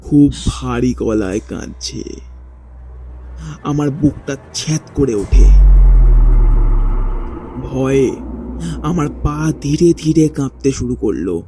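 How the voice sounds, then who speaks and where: A man narrating a first-person ghost story in a dramatic, suspenseful way with deliberate pauses. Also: medium pitch, animated, background noise. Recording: faint music behind the voice. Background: faint background music.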